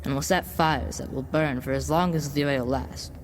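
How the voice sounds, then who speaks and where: A woman playing a smooth-tongued devil boy in an audio drama, coaxing like a salesman and offering tempting gifts. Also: extremely low pitch, steady tone, normal speed. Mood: grandiose. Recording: long reverb, background noise.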